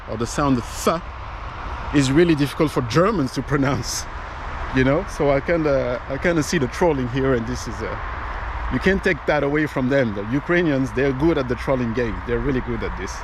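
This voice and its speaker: A man hosting an opinion channel talks in a loose, halting way, full of "uh" and restarts, in English that is not his first language. He is recorded outdoors, with clear traffic and street noise behind him. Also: medium pitch, animated. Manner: conversational, frequent fillers and restarts. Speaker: political commentary host. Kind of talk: casual commentary to camera.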